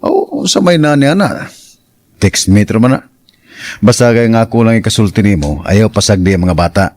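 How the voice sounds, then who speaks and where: An actor playing a gruff but permissive husband in a radio drama, with a Central Visayan Filipino accent. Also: male voice, on the low side, lively intonation, moderate pace, darkish tone. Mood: dismissive, admonishing. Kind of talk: Cebuano radio drama acting.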